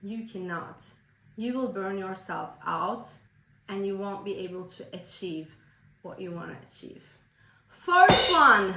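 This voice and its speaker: A Turkish-accented woman speaks slowly and emphatically, coaching the listener directly. Her voice is bright yet a little hoarse from a cold. The sound carries a roomy echo. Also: fairly high pitch. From Turkey. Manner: earnest, emphatic, direct address. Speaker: business coach. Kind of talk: motivational vlog advice.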